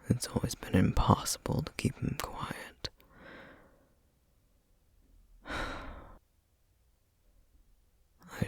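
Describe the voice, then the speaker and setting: A man playing a solemn, guarded, protective flame demon in a whispered ASMR roleplay, speaking formally and hesitantly with a breathy, gravelly voice. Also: fairly low pitch, no echo, exaggerated voice. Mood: reluctant, weary. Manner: slow, measured, formal, hesitant.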